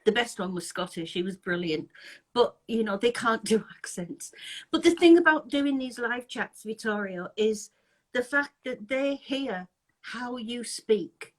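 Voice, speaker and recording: Woman from England, live-chat host, chatty, laughs a lot, fairly high voice, video call. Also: expressive, measured pace, low-quality recording. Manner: chatty, laughs often, trailing restarts. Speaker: interviewer, anti-scam group host. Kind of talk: casual live-chat interview.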